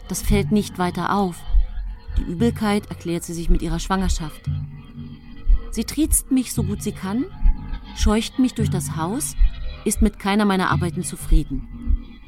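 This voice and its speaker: An actress playing a secretive, falsely devoted poisoner who confesses in measured radio drama narration. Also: female voice, mid-range voice, a little mellow, close to an everyday voice. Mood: quietly scheming. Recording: studio radio drama with music.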